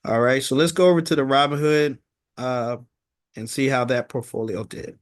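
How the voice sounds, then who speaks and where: A man hosting an informal stock market recap, talking in a halting, repetitive way and often checking in with "okay?". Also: medium pitch, steady tone, dry recording, studio quality.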